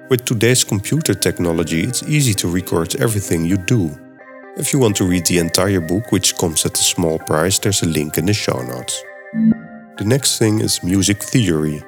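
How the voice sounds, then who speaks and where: Middle-aged podcast host with a Dutch accent and a very deep voice, steady and read-from-script, faint music underneath. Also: male voice. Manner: steady, reads from a script, explains step by step. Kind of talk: scripted podcast narration and software tutorial.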